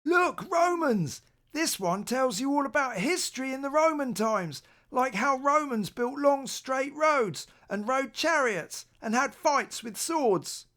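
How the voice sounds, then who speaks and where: Woman playing a patient big brother, slow-paced. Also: sits high, exaggerated voice. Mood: helpful. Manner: calm, coaxing, explaining. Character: patient, reasonable older brother, a young boy. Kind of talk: children's storybook read aloud.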